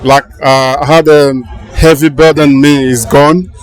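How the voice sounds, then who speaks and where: A man from Angola, answering interview questions in a halting way, often restarting as he searches for words. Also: fairly high pitch, little pitch movement, faint music behind. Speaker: interviewee, funeral visitor. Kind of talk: casual street interview. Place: outdoors at a crowded palace funeral. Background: crowd murmur.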